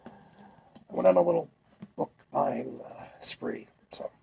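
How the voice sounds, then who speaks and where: Gravelly young man, congested. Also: mid-range voice, measured pace, a little hiss or hum. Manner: relaxed, rambling, many fillers and restarts. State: congested, recovering from a cold. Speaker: vlogger. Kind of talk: casual chat.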